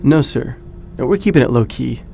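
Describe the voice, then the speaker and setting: An actor playing a calm, reassuring young man in a naturalistic audio drama, easygoing and measured. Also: mid-range voice, gravelly, a little hiss or hum. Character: laid-back, reassuring young man, loyal childhood friend.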